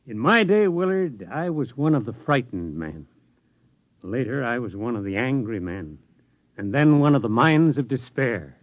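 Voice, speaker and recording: A weary older scientist played by a man who muses with rueful reflection. His pitch rises and falls in lively sweeps, close and dry. The sound is dull and dated like an old radio broadcast. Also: moderate pace, no echo, lightly acted. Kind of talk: 1950s radio drama acting.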